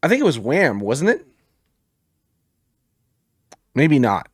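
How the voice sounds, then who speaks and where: A man co-hosting a gaming podcast in casual chat, his voice a little raspy, swearing often and laughing easily. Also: mid-range voice, wildly swooping pitch, takes it slowly, no echo, studio quality. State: slightly raspy from singing. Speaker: podcast co-host.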